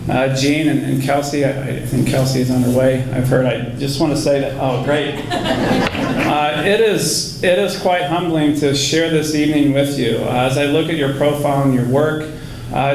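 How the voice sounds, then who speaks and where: A man's voice: medium pitch, steady tone, moderate pace, darkish tone. Man in his forties giving an echoey podium speech. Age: 40s. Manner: earnest, self-deprecating, chuckles now and then. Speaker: alumni award recipient, education researcher. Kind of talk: award acceptance speech. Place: large indoor school hall, at a podium. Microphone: handheld microphone. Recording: echoey hall with background noise.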